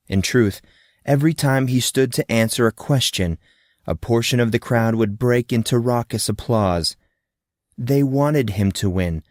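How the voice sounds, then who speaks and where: A man narrates a podcast novel in a measured, storytelling way. His voice is medium in pitch, and the recording is dry and close. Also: darkish tone, no echo. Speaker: audiobook narrator and podcast host. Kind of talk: scripted fiction narration.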